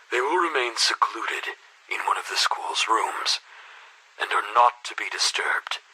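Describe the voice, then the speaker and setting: Husky, sharp-edged school headmaster. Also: male voice, fairly low pitch, heavily acted, low-quality recording. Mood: uneasy, confiding. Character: anxious, secretive, self-serving male high school headmaster. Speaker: voice actor in a video game. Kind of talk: dramatic game voice lines and confessional monologue.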